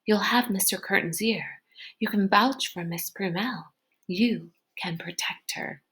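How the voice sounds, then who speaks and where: A woman's voice: mid-range voice, measured pace, a bit bright, lightly acted. A woman voicing a conflicted young boy hero in a storybook, hesitant and pleading. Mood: pleading, urgent.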